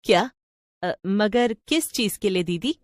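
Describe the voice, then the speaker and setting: A woman's voice: medium pitch, expressive, takes it slowly, dry recording, clean studio sound. A woman voicing a hospitable middle-aged village mother in a storybook tale, eager and deferential. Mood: puzzled.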